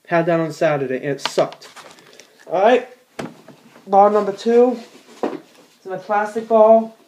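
A man's voice: high-pitched, lively intonation, slow pace, warm tone, slight background noise. A teenage boy in a small room at home, giving a casual how-to in a rambling, plain-spoken way with many fillers and restarts. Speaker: bowler demonstrating ball cleaning.

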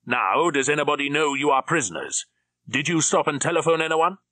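A man's voice: fairly high pitch, brisk, bright, forceful tone, dry recording, pitched above the performer's own voice. Man voicing a cold villain. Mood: coldly gloating. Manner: clipped, commanding, coldly mocking. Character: cold, menacing German villain, middle-aged man.

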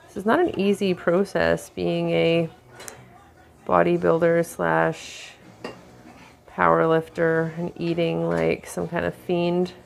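A woman casually narrating her weekly meal prep in a home kitchen, with relaxed, dry running commentary. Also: on the low side, slow pace, vocal fry. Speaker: home cook vlogger.

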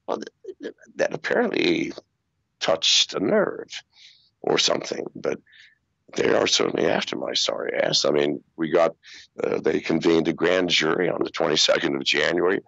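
An older man telling stories in an interview over a video call, swearing freely and talking in an animated way. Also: a bass voice, normal speed, vocal fry, dry recording, lo-fi sound. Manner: profane, animated storytelling with laughs. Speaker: interview guest. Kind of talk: casual interview storytelling. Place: small room with acoustic foam walls. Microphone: video call wearing over-ear headphones.

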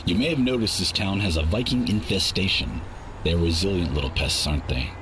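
A man voicing a cold, dismissive robot contract broker with a deadpan manner in a game. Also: sits low, fairly quick, lightly acted. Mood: indifferent. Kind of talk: animated game cutscene acting. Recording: clear noise behind the voice.

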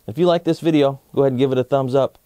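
A man's voice: medium pitch, steady tone, very fast, warm tone, no room sound. A man in his thirties hosting a children's story channel and narrating a picture book. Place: small indoor set, dry sound.

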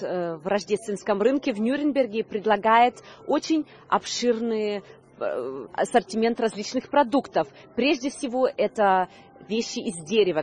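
A woman's voice: fairly high pitch, a little mellow. A woman in her thirties presenting a news report on location outdoors into a handheld microphone, with slightly hesitant delivery. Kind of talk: on-location reporting, semi-scripted presenting to camera. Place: outdoor Christmas market.

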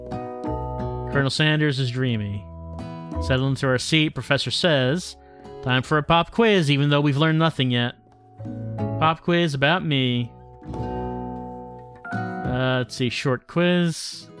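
Guy doing a let's play, reads dialogue out loud w/ dry joking comments, medium voice, music behind him. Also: mid-range voice, takes it slowly, long pauses. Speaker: streamer playing a visual novel. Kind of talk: livestream let's play, reading game text aloud with casual commentary. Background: game music.

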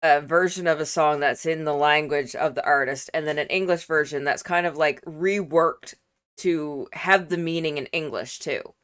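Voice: mid-range voice, moderate pace, a bit bright, smooth. A co-host of a casual music reaction chat on a video call, talking at length in easygoing, rambling explanations. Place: home room, video call.

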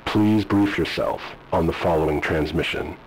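A man voicing a flat, official narrator briefing agents on a classified file. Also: deep voice, moderate pace, a little hiss or hum, lightly acted, rough recording. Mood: clinical.

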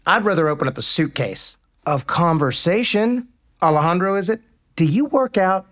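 A man's voice: high-pitched, expressive, normal speed, a bit bright. An actor playing a cocky, teasing male pro baseball player in a romantic comedy audio drama. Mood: flirtatious, playful.

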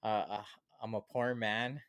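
A man in his thirties with a lowish voice talks as a podcast host. He is casual and slangy, rambling along. The close mic gives a dry sound. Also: level tone, unhurried, a bit bright, smooth, dry recording. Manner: rambling, slangy, peppered with "dude". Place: small home studio. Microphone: close studio mic on boom arm.

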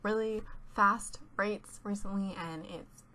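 A woman's voice: mid-range voice, steady tone, takes it slowly, sharp tone, a little hiss or hum. A teenage girl chatting over a voice-over, rambling and giggly, stuffed up from a cold. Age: teens. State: congested from a cold. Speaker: YouTuber. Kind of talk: casual voice-over chat. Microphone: home voice-over mic.

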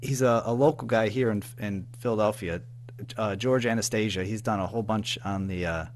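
A man's voice: fairly low pitch, fairly even intonation, fairly quick, darkish tone, slight background noise. An American man giving a casual interview as a guest, speaking in an informal, hesitant, rambling way. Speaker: interview guest, audio drama writer and producer.

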